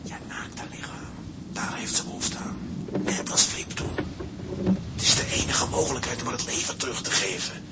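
An actor playing a gruff, commanding seasoned seaman in a radio drama, barking impatient, practical orders. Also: mid-range voice, lively intonation, normal speed, pauses often, lightly acted. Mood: brisk, firm. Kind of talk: Dutch audio drama acting. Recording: loud sea and boat noise.

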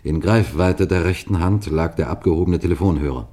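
A man playing an authoritative middle-aged police detective, recounting his case in a measured, emphatic way in a vintage radio crime drama. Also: deep voice, mellow. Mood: tense narration. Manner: measured, deliberate, emphatic storytelling. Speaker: narrator and lead actor.

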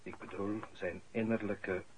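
An actor playing a solemn, fatherly clergyman in a Dutch radio drama, with deliberate, preacherly phrasing. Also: on the low side, little pitch movement, lightly acted. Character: solemn male canon, measured and fatherly. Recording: noisy old radio drama recording.

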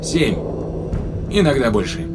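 A medium-pitched spaceman played by a man lays things out in a measured, practical way. It is science fiction audio drama, with loud music running behind the voice. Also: very animated. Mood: matter-of-fact. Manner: measured, explanatory. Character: matter-of-fact human astronaut explaining to an alien.

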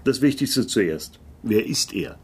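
Low-voiced, wry noir private eye. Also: male voice, expressive, slow pace, breathy edge, exaggerated voice. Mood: cool, challenging. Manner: laconic, dry, world-weary. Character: wry, disillusioned male private detective narrating his case. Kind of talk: German radio play, noir narration and dialogue.

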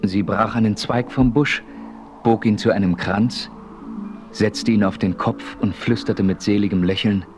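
An actor playing a solemn frontier hero who narrates his adventure in a measured, formal audio drama style. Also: on the low side, steady tone, music in the background, natural voice. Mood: solemn narration.

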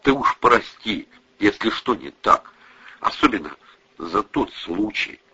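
A man voicing a despairing, gruffly affectionate middle-aged father with a halting, subdued manner in an audiobook. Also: fairly low pitch, expressive, pushed and bright, muffled recording, heavily acted. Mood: broken, sorrowful.

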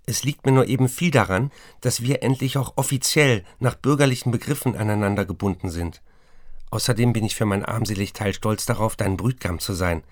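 A man's voice: lowish voice, fairly quick, no echo, lightly acted. An actor playing a besotted, restless writer in a radio play, reading his letters aloud with dry, precise, literary phrasing. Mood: matter-of-fact.

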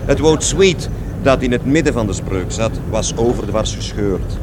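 A man's voice: animated, normal speed, natural voice. A man playing a disgruntled, self-deprecating working-class character who rambles wryly about his grievances in a radio play. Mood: wry, rueful. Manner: wry, hesitant, rambling. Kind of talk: radio play acting, conversational. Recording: noisy radio play with vehicle rumble.

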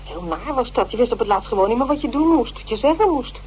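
A woman's voice: fairly high pitch, animated, quick pace, a little mellow, slight background noise, natural voice, low-quality recording. A woman playing a gossipy, put-upon long-serving theatre worker in a radio drama, with a plaintive manner. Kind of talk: Dutch radio drama dialogue.

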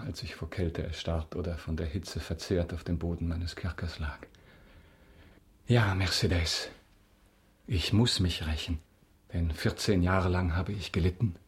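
Avenging nobleman, low voice, stern, bitter, formal delivery, radio play. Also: fairly even intonation. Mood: bitter, vengeful. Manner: formal, grave, measured. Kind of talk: German radio drama acting.

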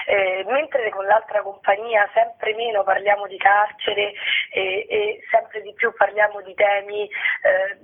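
A woman's voice: medium pitch, vocal fry, husky. A woman explains her work in a radio interview, choosing her words reflectively. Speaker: theatre director being interviewed. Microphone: telephone. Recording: narrow tinny phone line.

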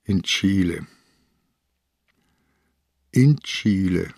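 Radio crime drama: stubborn old inspector, ailing and insistent, very slow delivery, dry studio sound. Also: male voice, low-pitched, animated, vocal fry, darkish tone, no room sound, full character voice. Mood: brooding, insistent. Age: older. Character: old, sick, stubborn male police inspector.

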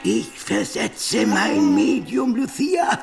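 A theatrical stage hypnotist for radio drama. He pitches his voice very high and announces slowly and emphatically, with a creaky edge, over faint music. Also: wildly swooping pitch, full character voice. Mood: showy, commanding. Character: showy male stage hypnotist calling out to audience. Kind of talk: German radio drama acting.

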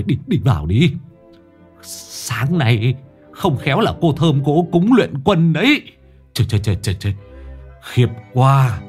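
Man voicing an old village woman over 60, superstitious, panicky, raised pitch, loud. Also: slightly rough. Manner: panicky, urgent, hushed gossip.

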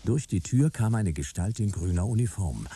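A man playing a wry, hard-boiled private detective in a sci-fi radio drama, laconic and deadpan. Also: on the low side, moderate pace, slightly rough. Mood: laconic narration. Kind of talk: radio drama narration and dialogue, noir detective.

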